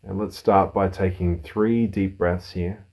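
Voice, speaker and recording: A man leading a guided meditation as a mindfulness teacher, giving calm, soothing, unhurried instructions with pauses. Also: low voice.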